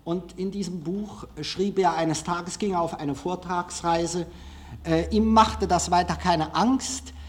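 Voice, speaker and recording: A man giving a live public lecture in a hall, hesitant, halting and self-deprecating as he speaks. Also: high voice, steady tone. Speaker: film director giving a lecture. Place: large formal auditorium, indoors.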